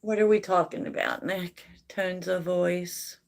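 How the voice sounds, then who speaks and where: A woman hosting a tarot livestream, chatting softly and reflectively with viewers and laughing often. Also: on the low side, fairly even intonation, a little slow, gravelly. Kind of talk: casual livestream chat answering viewers.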